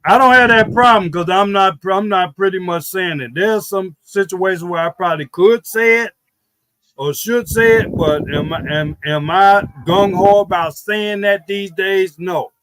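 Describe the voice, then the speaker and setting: A man's voice: high-pitched, a little slow, a bit bright, dry recording. A man speaking as a guest on a live online interview, blunt and emphatic. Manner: blunt, emphatic, plain-spoken.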